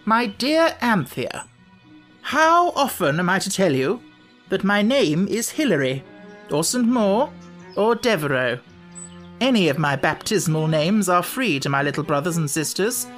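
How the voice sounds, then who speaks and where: A woman reading a children's storybook, voicing a languid, condescending young gentleman, a grown-up baby brother with old-fashioned slang. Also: lowish voice, sing-song, unhurried, soft background music, voice pushed up. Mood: haughty, irritated.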